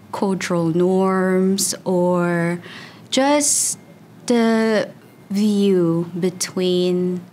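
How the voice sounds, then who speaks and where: Chatty young woman, lowish voice. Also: a little mellow, slight background noise. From Philippines. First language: Tagalog. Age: young adult. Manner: chatty, frequent "like" fillers. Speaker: student researcher. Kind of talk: casual interview answers.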